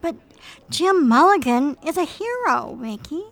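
A woman playing a gentle, earnest female android detective partner in a radio drama, speaking in a measured way. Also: high voice, very animated, vocal fry, heavily acted.

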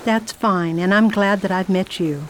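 A woman's voice: mid-range voice, unhurried, lightly acted, poor audio. A woman playing a prim, plucky lady traveler with precise, formal diction in a radio drama, faint noise behind her. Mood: bright, reflective.

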